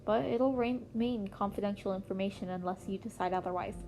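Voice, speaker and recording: A woman voicing a grown male hero in an amateur audio story, weary and protective, gently scolding in a matter-of-fact way, with music underneath. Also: mid-range voice, a little fast, natural voice. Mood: resigned.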